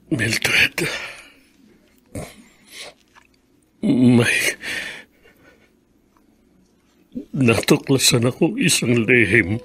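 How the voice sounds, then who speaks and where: A man's voice: medium pitch, lively intonation, slow pace, fairly bright tone, breathy edge, full character voice. Troubled, remorseful father. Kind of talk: Filipino radio drama acting.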